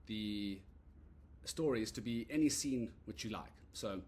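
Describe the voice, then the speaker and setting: A man giving a relaxed, rambling walkthrough of an app he built, full of "uh" and "so yeah" fillers. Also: on the low side, a little slow, breathy edge. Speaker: app creator demoing his product. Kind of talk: casual screen-recorded product demo. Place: at a computer recording a screen demo.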